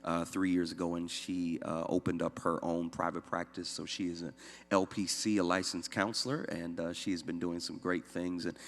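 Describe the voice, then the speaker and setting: A man's voice: low voice, little pitch movement, normal speed. A man in his thirties from upstate New York, a young adult pastor, speaking in an easygoing, joking way with many fillers. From Syracuse, New York. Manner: easygoing, many "uh" fillers, self-deprecating humor. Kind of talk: church talk, personal testimony. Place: church room. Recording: long hall reverb.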